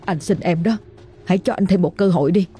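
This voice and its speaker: A woman doing a clinging, desperate man in a spoken story, begging in a quick, husky voice close to her own. Faint music plays underneath. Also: fairly low pitch, mellow. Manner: begging, urgent. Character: pleading former male lover, clinging and desperate.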